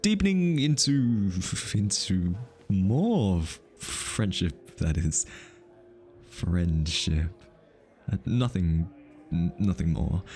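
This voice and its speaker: A man's voice: low voice, a little mellow, heavily acted. A male voice actor playing an easily flustered, teasing hitman who is smitten, in an ASMR roleplay. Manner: teasing, stammers and backtracks when flustered.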